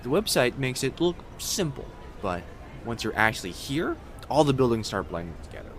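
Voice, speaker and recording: A man voicing a friendly, gently teasing young fox-spirit college student in an immersive roleplay audio, speaking casually and reassuringly to the listener. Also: medium pitch, sing-song, normal speed, slight background noise, close to an everyday voice. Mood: friendly, joking. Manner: soft-hearted, reassuring, casual conversational delivery. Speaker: voice actor.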